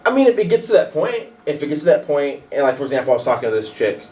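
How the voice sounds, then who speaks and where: A man with a deep voice, talking candidly on a radio panel, hesitant and full of "like" and restarts. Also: sing-song, very fast, dark tone, recorded from a distance. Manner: hesitant, many fillers and restarts. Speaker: panel guest. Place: radio studio.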